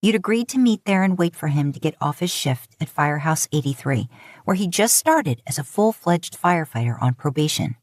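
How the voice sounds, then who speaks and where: A woman's voice: low voice, expressive, normal speed, dry recording, studio quality. A woman reading scripted fiction as an audiobook narrator.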